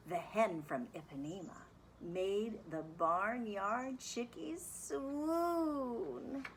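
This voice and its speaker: Lady teacher doing a kids read-aloud, bright voice, unhurried, bit of noise on it. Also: mid-range voice. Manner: animated, deliberate, instructive. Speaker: music teacher reading aloud. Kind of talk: expressive children's read-aloud and lesson.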